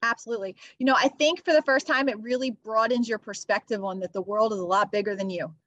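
A woman teacher speaks as a podcast guest, earnest and upbeat, scattering "you know" through her answers. Her voice sits a little high. The sound is dry and close, with no room echo. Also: fairly even intonation, quick pace, fairly bright tone, muffled recording. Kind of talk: podcast interview. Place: near Philadelphia.

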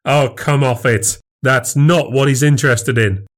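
A man's voice: medium pitch, unhurried, pitched above the performer's own voice, close to an everyday voice. Jealous, sneering husband, read by a man. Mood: bitter, scornful. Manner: goading, sneering. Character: jealous, sarcastic husband picking a fight. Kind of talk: acted audiobook dialogue.

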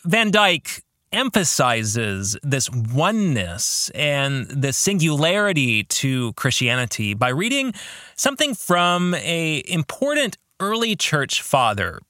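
A man hosting a reflective solo podcast on faith, speaking in an earnest, deliberate way with emphatic stresses on key words. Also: on the high side, sing-song, bright, forceful tone, dry recording, studio quality. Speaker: podcast host and author.

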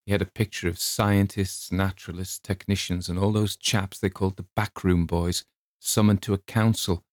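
A man's voice: low-pitched, level tone, normal speed, a little mellow, no echo. A man reading scripted story narration as an audiobook narrator, recorded close.

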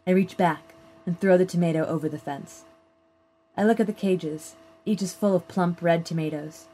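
A woman reading a first-person literary short story aloud in a measured, plain storytelling manner. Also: on the low side, warm tone, poor audio. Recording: clear background noise.

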